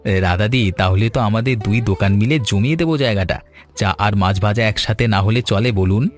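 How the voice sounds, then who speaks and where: A man voicing a friendly young food seller, earnest, polite and chatty, in an animated story. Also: fairly low pitch, normal speed, faint music behind, natural voice. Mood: eager, friendly. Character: eager young fish-fry seller, friendly village man.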